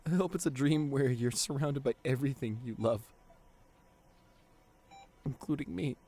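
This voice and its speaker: A man acting a devoted, guilt-ridden boyfriend at a bedside in an intimate ASMR roleplay, speaking tenderly and haltingly with a lot of emotion. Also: medium pitch, warm tone, a little hiss or hum, natural voice. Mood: tender, hushed. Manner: tender, halting, emotional delivery with repeated phrases.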